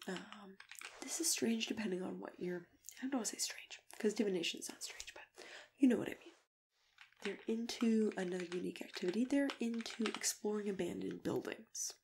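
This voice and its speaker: A woman playing a kindly matchmaker in an ASMR roleplay, speaking softly and often slipping into a whisper. She is gentle, unhurried and reassuring at a middle pitch, with clear noise, clicks and typing in the recording. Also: lightly acted.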